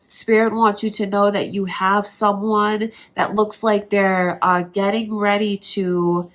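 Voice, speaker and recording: A woman reading tarot cards in an easy, conversational way, speaking right to the listener. Her voice sounds stuffed up and congested from allergies, sitting at a middle pitch for a woman. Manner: conversational, reassuring, talks straight to the viewer. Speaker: tarot reader. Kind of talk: casual tarot reading to camera.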